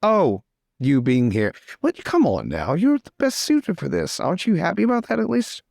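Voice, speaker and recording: A man playing a chatty, excitable lord in an improvised roleplay, talking in a rambling, theatrical and emphatic way. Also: a little high, normal speed, vocal fry, no echo, clean studio sound, full character voice. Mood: teasing, reassuring.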